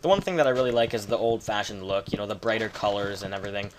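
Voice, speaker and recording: A man's voice: on the low side, racing, slight background noise. A man with a Canadian accent giving rambling, casual commentary while playing a game, swearing now and then. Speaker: gaming video creator.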